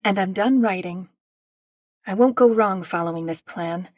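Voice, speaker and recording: A woman's voice: mid-range voice, normal speed, no echo, clean studio sound, close to an everyday voice. A woman voicing a diligent, meticulous young planner in a video game, businesslike and precise. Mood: confident. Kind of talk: video game character voice lines.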